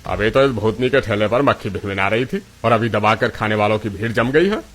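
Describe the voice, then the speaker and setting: A man's voice: fairly low pitch, lively intonation, fairly quick, a bit bright. A man plays a cartoon restaurant owner full of arrogance and envy. He taunts and boasts with a sneer, forever scheming against his rival. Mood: scheming, jealous. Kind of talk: Hindi cartoon story dialogue.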